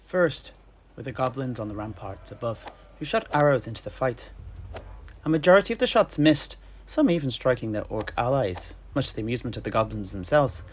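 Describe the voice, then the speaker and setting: A man narrating a fantasy battle tale in character, theatrically and with deliberate, dramatic pauses. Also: mid-range voice, expressive, a little hiss or hum. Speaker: storyteller guest in an in-character fantasy chat.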